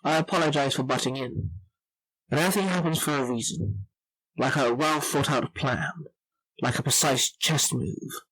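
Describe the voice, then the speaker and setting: A man playing a polite, smug, calculating schemer in a deliberate scripted monologue. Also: medium pitch, a bit bright, breathy edge, no echo, poor audio. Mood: coolly calculating.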